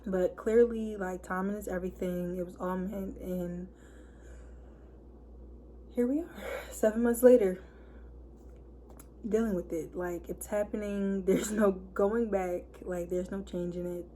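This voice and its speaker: A hoarse woman of twenty-one, chatting casually to camera and packing her talk with fillers. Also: mid-range voice, steady tone, a little slow, long pauses, dark tone, slight background noise. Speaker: vlogger. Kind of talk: casual story time chat.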